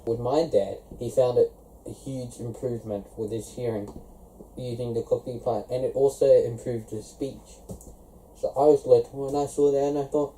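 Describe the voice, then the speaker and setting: A young man from South Africa talks straight to the camera in a relaxed vlog. His voice is deep-toned and very mellow, sitting at an ordinary pitch for a man. He explains things one step at a time and links his points with easy "so" and "of course" phrases. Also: moderate pace, slight background noise, low-quality recording. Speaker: vlogger. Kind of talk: casual explanatory vlog talk.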